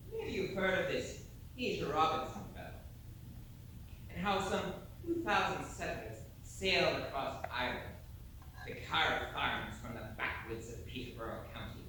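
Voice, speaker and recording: A man's voice: on the high side, sharp tone. An actor playing a hearty former navy sailor, telling his tale to an audience in a folksy, theatrical monologue. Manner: theatrical, folksy, dramatic pauses. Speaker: stage actor. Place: theatre stage. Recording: echoey stage from far off, faint noise.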